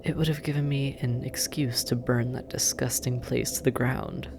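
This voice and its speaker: A performer in a roleplay audio drama voicing a sardonic, teasing demon captor who drawls and murmurs with heavy pauses. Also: mid-range voice, fairly even intonation, vocal fry, noisy background, close to an everyday voice. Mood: wry. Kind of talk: scripted roleplay audio drama, intimate second-person address.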